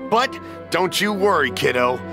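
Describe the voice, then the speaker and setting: An actor playing an older, generous festival stall keeper in a video game, with a hearty, folksy manner. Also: male voice, a little high, expressive, measured pace, over music. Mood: kindly.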